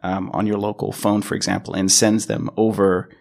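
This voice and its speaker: An American man answers interview questions in a low, gravelly voice full of fry. He explains in a conversational way, thinking as he goes and often restarting his phrases. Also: little pitch movement, normal speed, a little mellow. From California, United States. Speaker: podcast guest, product engineer.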